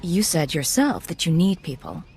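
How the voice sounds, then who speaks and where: A woman's voice: fairly low pitch, big pitch swings, normal speed, darkish tone, husky, faint music behind, exaggerated voice. A woman playing a principled undercover intelligence agent in a video game, cool, concise and controlled. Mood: composed, assured.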